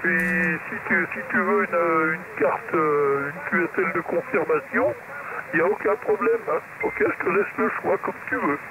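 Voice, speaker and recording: A man's voice: fairly high pitch, normal speed. A man chatting casually as a radio operator, heard through a shortwave radio transmission. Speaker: radio operators on the receiving end. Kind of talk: casual amateur radio contact chat. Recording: tinny, very noisy CB radio reception.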